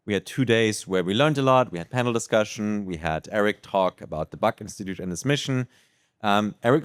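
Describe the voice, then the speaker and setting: A man's voice: lowish voice, clean tone, dry recording, clean studio sound. A man hosting a podcast, introducing and questioning a guest in a conversational interview style. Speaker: podcast host.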